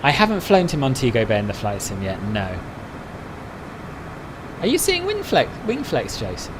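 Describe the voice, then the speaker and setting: Male streamer, mid-pitched, casual and chatty, replying to viewer chat over noticeable background noise. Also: huge pitch swings, normal speed. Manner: answers viewers' chat messages conversationally. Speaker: flight sim streamer.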